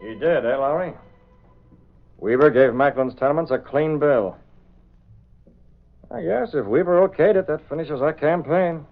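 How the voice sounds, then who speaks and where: Crusading publisher, American, fairly high, firm delivery, dull old radio sound. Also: male voice, measured pace, long pauses, soft background music. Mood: resigned. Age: young adult. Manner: firm, earnest, declarative. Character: earnest, crusading young male newspaper publisher. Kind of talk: 1930s radio acting. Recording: dull, band-limited old radio recording.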